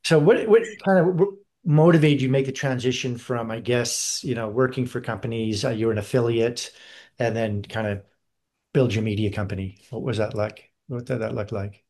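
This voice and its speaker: A man's voice: mid-range voice, normal speed, a little mellow. A man hosts a business interview podcast. He opens by reading a formal introduction of his guest. His questions run long and loop through several parts before he lands on the point. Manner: rambling multi-part questions. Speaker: podcast host.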